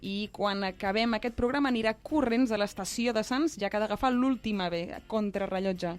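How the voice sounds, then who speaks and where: A woman's voice: medium pitch, brisk. A woman with a Catalan accent co-hosting a talk show, moving between prepared introductions and casual banter. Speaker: co-host.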